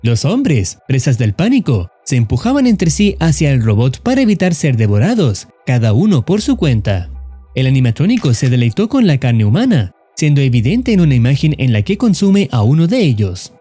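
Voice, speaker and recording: A man narrates a horror tale in a steady, solemn voice, leaning on ominous words. His tone is mellow and dark, and his pitch rises and falls in a lively way. Faint music plays under his voice-over. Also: moderate pace, breathy edge. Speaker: YouTube horror channel narrator. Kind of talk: scripted narration of a horror story.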